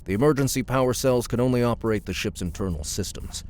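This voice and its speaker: An actor playing a gruff, confident mechanic in an audiobook drama, talking in a blunt, wry, offhand way. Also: male voice, mid-range voice, quick pace, darkish tone, a little hiss or hum, lightly acted. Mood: offhand appraisal.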